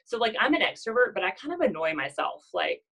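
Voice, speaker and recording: A woman's voice: mid-range voice, big pitch swings, fast, gravelly, a bit bright, slight background noise. A woman in her thirties with a nasal voice, giving a casual motivational talk to her sales team and often asking "does that make sense?". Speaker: network marketing team leader.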